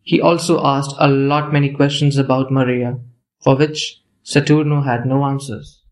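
A man's voice: mid-range voice, flat delivery, normal speed, rough recording. A man narrating a scripted story for an audio play.

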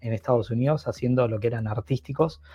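A man's voice: medium pitch, quick pace, warm tone, slightly rough, dull audio. A middle-aged man from Brazil, a Portuguese speaker, talking enthusiastically as an interview guest and often restarting his sentences. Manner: enthusiastic, rambling with frequent restarts. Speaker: podcast guest. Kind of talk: casual interview conversation.

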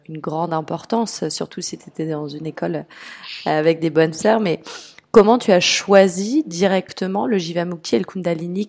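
A woman hosting a yoga podcast, introducing the episode and asking gentle, slightly hesitant questions. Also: on the low side, no room sound. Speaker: podcast host, interviewer.

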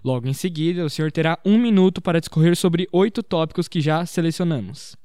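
A man's voice: a little high, a little fast, dark tone, dry recording, clean studio sound. A teenage boy, hosting a student interview podcast in a formal, scripted manner. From Brazil. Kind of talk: prepared podcast introduction and interview questions.

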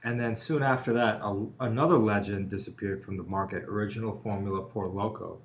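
A man's voice: fairly low pitch, fairly quick. A man co-hosting a casual podcast about lawyering, telling stories in a joking way and laughing often. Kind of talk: casual chat.

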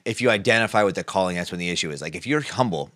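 A man's voice: sits low, expressive, racing, a bit bright, no room sound, studio quality. A man co-hosting a podcast, talking through faith ideas in a rambling, casual way with many restarts. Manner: rambling, restarts mid-thought. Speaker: podcast co-host.

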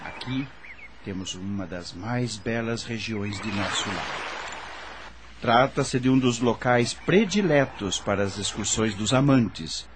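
Low-voiced spirit guide amid nature sounds. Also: male voice, noisy background. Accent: Brazilian. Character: calm, kindly male spirit guide showing a newcomer around. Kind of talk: scripted radio drama dialogue.

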